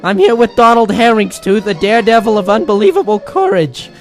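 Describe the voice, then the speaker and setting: An actor playing a flustered, stammering field reporter in a comic old-time radio news skit. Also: male voice, sits high, fairly quick, faint music behind. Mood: earnest, stammering. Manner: stammering, excitable.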